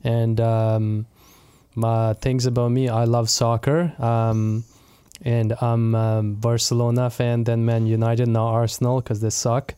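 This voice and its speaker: A man's voice: medium pitch, slow pace, clean tone, no room sound. A young man whose first language is Punjabi, an associate pastor, chatting casually in an interview with many fillers and easy laughs. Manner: relaxed and friendly, laughs easily, many fillers and restarts. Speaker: associate pastor of local mission.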